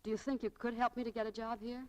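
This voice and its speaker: Casting: woman playing plucky young applicant, voice a little high, pleading and persistent, 1940s radio drama. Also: little pitch movement, quick pace, natural voice, low-quality recording. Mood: determined. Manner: eager, polite, pleading. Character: plucky, persistent young female job seeker.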